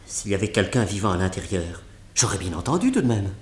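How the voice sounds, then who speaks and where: A fretful museum director, lowish voice, echoey room. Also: male voice, sing-song, fast. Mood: worried. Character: nervous excitable male museum director. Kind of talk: radio drama acting.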